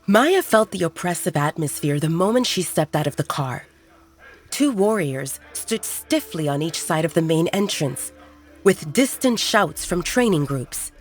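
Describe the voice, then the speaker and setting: Lowish-voiced woman narrating a story. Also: animated, a little slow. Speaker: audiobook narrator. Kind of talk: scripted dramatic story narration. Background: background music.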